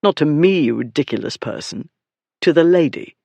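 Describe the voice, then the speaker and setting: A male narrator voices an aristocratic duke from a novel. His voice is cool and low, carrying easily, with an unhurried, dry disdain and calm authority, recorded without any room sound. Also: vocal fry, dry recording. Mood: disdainful.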